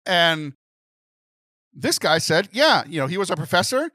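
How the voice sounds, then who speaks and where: Animated, bright-voiced man telling a story. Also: sits high, measured pace, dry recording, clean studio sound. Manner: animated, laughs often, mimics voices. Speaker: podcast co-host. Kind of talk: lively storytelling chat.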